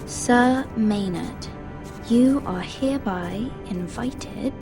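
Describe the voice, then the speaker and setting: A woman's voice: on the high side, very slow, over music. An actress playing a dutiful, earnest young noblewoman in a fantasy game, polite, reserved and formal. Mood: worried, subdued. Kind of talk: dramatic fantasy game acting.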